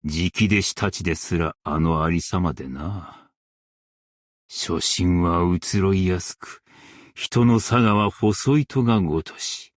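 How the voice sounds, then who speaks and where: Slow, deep-voiced stern master craftsman. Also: dry recording, studio quality. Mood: reflective. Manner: archaic, formal, measured diction. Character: stern, dignified ancient master craftsman and warrior, male. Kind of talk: Japanese game character voice lines.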